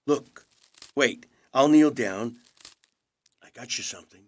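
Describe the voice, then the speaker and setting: An actor playing an aging movie star in an audio drama, a gentle, wry and understated older brother. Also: male voice, mid-range voice, slow, no echo. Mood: gentle, apologetic.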